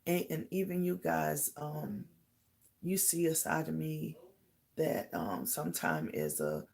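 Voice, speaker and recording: A middle-aged woman with a slightly raspy voice chatting casually to live viewers as host, halting and pausing as she goes. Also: sits low, animated, darkish tone. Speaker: host of a livestream talk channel.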